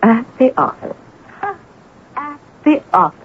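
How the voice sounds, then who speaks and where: A woman's voice: high-pitched, animated, unhurried. An actress playing a self-absorbed, domineering woman novelist in a radio drama, theatrical and grandiose in her delivery. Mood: indignant. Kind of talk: radio drama acting with first-person narration. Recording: dull, noisy old radio broadcast.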